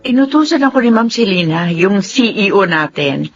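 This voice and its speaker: A woman's voice: mid-range voice, lively intonation, moderate pace, dull audio, faint music behind, lightly acted. A woman playing a polite, dutiful office boss with something to hide in a Tagalog drama. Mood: official, measured. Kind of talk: Tagalog radio-style drama acting.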